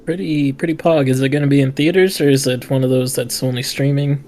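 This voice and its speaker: A man's voice: medium pitch, fairly even intonation, brisk, darkish tone. A man co-hosting a podcast with casual, slangy, joking banter. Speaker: podcast co-host.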